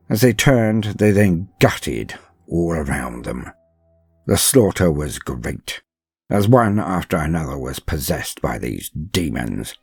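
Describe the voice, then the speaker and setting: A haunted, proud inquisitor voiced by a male actor tells his tale in a husky, low voice. He speaks with solemn theatrical weight and deliberate pauses, swinging widely in pitch. The recording is close and dry, with soft music behind him. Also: no room sound, heavily acted. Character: grave, proud inquisitor, last of a revered line, haunted. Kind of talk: dramatic first-person narration in audio drama.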